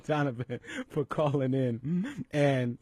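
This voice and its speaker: A man's voice: medium pitch, animated, slow, a little mellow, slightly rough, dry recording. Upbeat male radio host, dry studio sound. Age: middle-aged. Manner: upbeat, laughs a lot, stumbles and repeats words. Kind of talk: casual radio talk show hosting.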